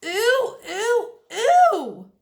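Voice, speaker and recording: A woman voicing an excitable, pushy first-grade girl from a children's storybook, with emphatic, childlike phrasing. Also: very high voice, very slow, gravelly, pitched above the performer's own voice, heavily acted. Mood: disgusted. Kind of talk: children's storybook read-aloud.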